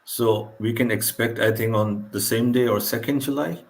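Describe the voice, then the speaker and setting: A man's voice: mid-range voice, moderate pace, gravelly. A man who is a guest in a casual football chat on a live stream, polite and a little hesitant. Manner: hesitant, polite, laughs lightly.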